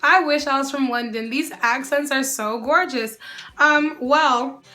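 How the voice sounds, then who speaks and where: A sassy young woman chatting straight to camera with a bright, ringing tone. She sits a little high in her range and keeps the banter lively as she answers viewer questions. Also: a little slow, faint music behind. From United States. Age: young adult. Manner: sassy, animated, often calls the viewer "girl". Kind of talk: casual Q&A vlog.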